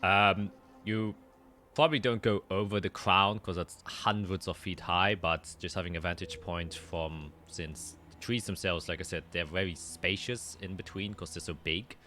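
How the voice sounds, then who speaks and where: Man, game master, deep bright voice, halting descriptive narration, cricket ambience behind. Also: slight background noise. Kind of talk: narrating a tabletop roleplaying game.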